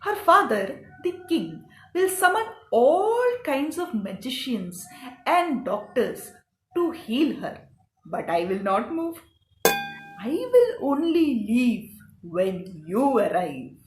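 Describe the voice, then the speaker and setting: A woman voicing a bossy, threatening male demon in a folk tale, commanding and deliberate. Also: a little high, big pitch swings, echoey room, a little hiss or hum, low-quality recording. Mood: scheming, instructing. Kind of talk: folk tale character acting.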